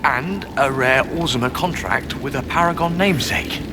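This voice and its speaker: A man's voice: mid-range voice, moderate pace, background noise, lightly acted. A man voicing an earnest, dutiful official in a fantasy video game, speaking in formal, solemn phrases.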